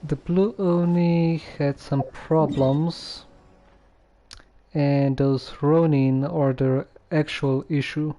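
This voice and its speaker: A man's voice: a little high, fairly even intonation, slow pace, warm tone. A man streaming a video game, musing casually and thinking aloud in a hesitant way.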